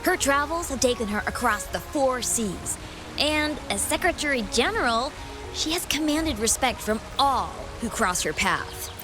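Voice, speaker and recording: An eager young townswoman in a game, speaks in a helpful, admiring way. Her voice is high and lively, with wide swings in pitch. She takes her time over each line. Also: measured pace, music in the background. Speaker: travel guide writer townsperson. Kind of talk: game voice acting.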